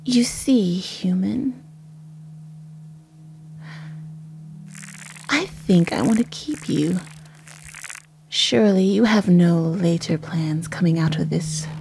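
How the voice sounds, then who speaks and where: A woman voicing a menacing, possessive female naga in an ASMR roleplay, teasing and condescending in a breathy half-whisper. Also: fairly low pitch, slow pace, darkish tone, a little hiss or hum. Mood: possessive, coaxing.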